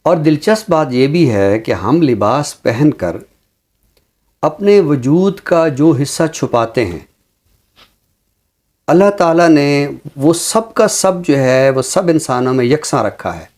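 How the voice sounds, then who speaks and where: A man with a Pakistani accent giving reflective commentary like a teacher, measured and explanatory, with deliberate pauses. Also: on the high side, expressive, darkish tone. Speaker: teacher and programme guest. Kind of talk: reflective social commentary in an interview.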